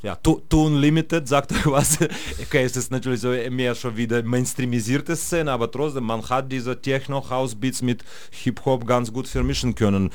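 Man, smooth resonant voice, casual interview guest, hesitant, many fillers. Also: medium pitch, steady tone, normal speed, few pauses, darkish tone. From Russia. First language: Russian. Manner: hesitant, many fillers and restarts, laughs easily. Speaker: guest, music producer and DJ.

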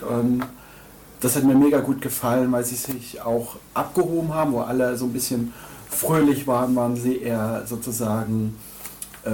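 Hesitant, mellow-voiced man in an echoey room. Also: medium pitch, slight background noise. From Hamburg, Germany. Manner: hesitant, many fillers and repeated words. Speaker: tour manager and label owner, guest. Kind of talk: interview answers. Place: record shop studio.

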